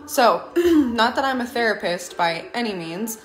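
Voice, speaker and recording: A young woman with a hoarse, raspy voice from a lingering cough, chatting earnestly in a casual livestream and giving advice from her home kitchen into a phone at arm's length. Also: a little high, lively intonation, measured pace. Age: young adult. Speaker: livestreaming mom and team leader. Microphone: phone camera at arm's length. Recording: echoey kitchen room sound.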